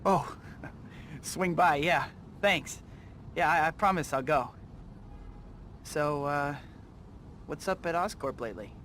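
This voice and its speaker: An actor playing an earnest, wry young American student who is secretly a superhero, in scripted game cutscenes. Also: male voice, a little high, takes it slowly, pauses often, a bit bright, slight background noise. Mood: friendly, awkward. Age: young adult. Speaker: hero and narrator. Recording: music behind the voice.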